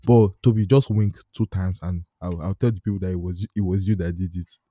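A man's voice: deep voice, steady tone, brisk, mellow, no room sound. A young man with a Nigerian accent co-hosting a podcast, chatting casually in a playful, teasing way and laughing often. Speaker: podcast co-host. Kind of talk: casual chat.

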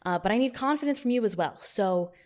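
A woman podcast host running a mock-stern job interview in a deadpan manner, recorded in an echoey new studio. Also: medium pitch, fast.

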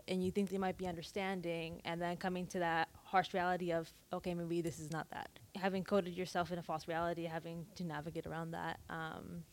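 A woman's voice: fairly low pitch, a little mellow. A woman sharing a reflective account at a live talk, speeding up as she goes. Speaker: speaker sharing her experience.

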